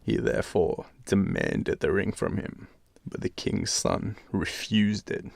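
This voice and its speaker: Male Australian bedtime storyteller, heavy vocal fry, expressive, recorded close and dry. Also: on the low side, slow, no echo. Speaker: storyteller, channel host. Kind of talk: expressive bedtime fairytale narration with casual asides.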